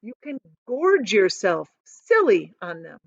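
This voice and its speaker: A woman voicing an eccentric, proud and excitable male chocolate factory owner in a theatrical, emphatic storybook manner. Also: medium pitch, slow, vocal fry, no echo, highs cut off, full character voice. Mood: eager, persuasive. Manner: theatrical, emphatic, stretching key words.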